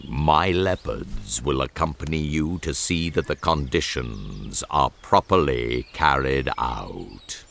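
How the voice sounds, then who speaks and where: Majestic lion ruler, extremely low voice, slow solemn delivery, radio theatre, music underneath. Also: male voice, expressive, fairly bright tone, a little hiss or hum, heavily acted. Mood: commanding. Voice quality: gravelly, resonant. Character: majestic great lion king, calm and commanding.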